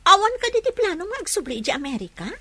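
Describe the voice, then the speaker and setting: A demanding, exasperated woman in a radio drama. Her voice is very high and piercing, swinging widely as she insists and questions. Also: big pitch swings, fast, sharp tone, no echo, heavily acted. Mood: insistent. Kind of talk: Ilocano radio drama acting.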